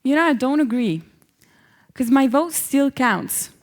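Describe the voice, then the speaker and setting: A woman's voice: high-pitched, lively intonation, measured pace. A young woman reading the part of an independent-minded, hopeful Iranian woman in a staged play reading. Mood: earnest. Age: young adult.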